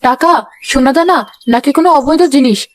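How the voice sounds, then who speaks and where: A woman's voice: sits high, fairly quick, slight background noise, lightly acted. A woman voicing a suspicious, snooping mother-in-law in a comedy cartoon, dramatic and accusatory. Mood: alarmed. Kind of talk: Bengali cartoon comedy acting.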